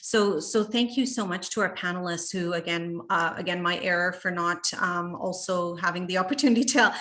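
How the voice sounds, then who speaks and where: A woman's voice: lowish voice, normal speed, fairly bright tone. A woman moderating a panel over a video call, speaking hesitantly with many "um"s and restarts, thanking each speaker.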